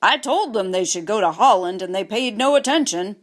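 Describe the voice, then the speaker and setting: A woman's voice: medium pitch, lively intonation, a little fast, natural voice. A woman voicing a boy's firm, emphatic uncle in a children's storybook. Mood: stern, resolved. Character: stern adult uncle of a boy, firm.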